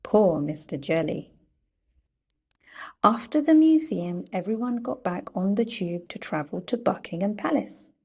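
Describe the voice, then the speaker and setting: A woman reading a children's story aloud in a playful, deliberate storytelling manner. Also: mid-range voice, lively intonation, vocal fry, husky. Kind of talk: read-aloud storybook narration.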